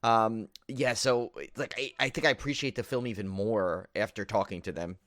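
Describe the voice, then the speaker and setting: A man's voice: on the low side, fairly even intonation, moderate pace, fairly bright tone, no echo. A man co-hosting a podcast and talking in a casual, conversational style. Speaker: podcast co-host.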